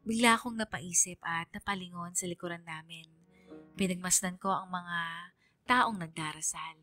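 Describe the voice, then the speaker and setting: A woman's voice: on the low side, fairly bright tone, natural voice. A woman playing a devoted teenage daughter, narrating her story as a heartfelt first-person letter in a radio drama. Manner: heartfelt, earnest, dramatic pauses.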